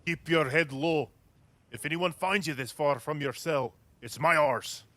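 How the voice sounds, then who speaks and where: A man's voice: on the high side, a little slow, a bit bright. An actor playing a grumbling, wary off-duty policeman with a sardonic, cautioning manner, in an audio drama.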